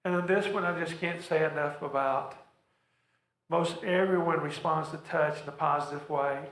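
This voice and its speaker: Earnest male presenter, slightly high voice, roomy echoing hall, workshop delivery. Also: fairly even intonation, moderate pace, a bit bright. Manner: earnest, repeats words when he stumbles. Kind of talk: practical talk to a church audience. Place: church hall.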